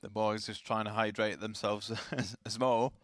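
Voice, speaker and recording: A man casting a live esports match, chatty and self-deprecating, his voice slightly raspy. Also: fairly low pitch, steady tone, moderate pace, dry recording. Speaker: esports caster.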